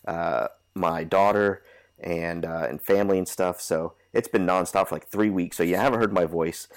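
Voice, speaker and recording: Nasal, stuffed-up male podcast host, low voice, rambly and casual. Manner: rambling, many fillers and restarts. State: stuffy from a cold. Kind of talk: casual chat.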